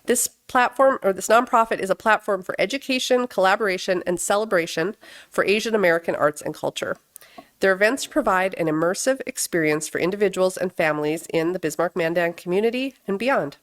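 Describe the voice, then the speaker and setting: A woman leading a worship service, reading prepared words and introductions in a measured, deliberate way. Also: mid-range voice. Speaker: worship service leader at a Unitarian Universalist fellowship. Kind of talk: scripted church readings and speaker introduction.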